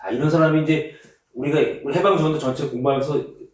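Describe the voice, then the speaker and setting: A man's voice: fairly high pitch, fast. Guy hosting a book club, chill and chatty, heavy room echo, mic far away. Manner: relaxed, conversational, leads the talk. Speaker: seminar host. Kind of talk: casual book discussion.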